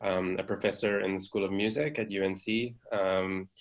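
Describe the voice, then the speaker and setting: A man from South Africa, a music professor, introducing himself in a measured, hesitant way. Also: low voice, steady tone.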